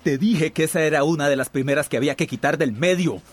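An actor playing an arrogant, short-tempered scheming company boss in a radio drama, with a sarcastic, imperious manner. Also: male voice, on the high side, quick pace, soft background music, close to an everyday voice.

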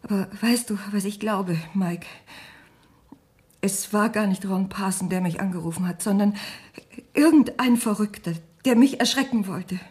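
An actress playing a frightened, insistent wife in a German radio crime thriller, anxious and pleading. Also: female voice, mid-range voice, normal speed, close to an everyday voice. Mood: agitated, reasoning. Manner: anxious, pleading, breaking into hushed tones. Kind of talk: German radio drama acting.

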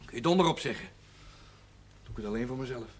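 An actor playing a defiant, sharp-tongued young village son in a television period drama, speaking bluntly and colloquially. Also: male voice, mid-range voice, animated, fast talker, slight background noise, close to an everyday voice. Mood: bitter. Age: young adult.

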